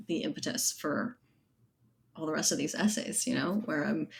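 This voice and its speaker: A woman with a distinctly low voice talks as an author being interviewed. She is casual and reflective, working out thoughts aloud in loose clauses. Faint noise sits behind her. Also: gravelly. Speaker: guest author.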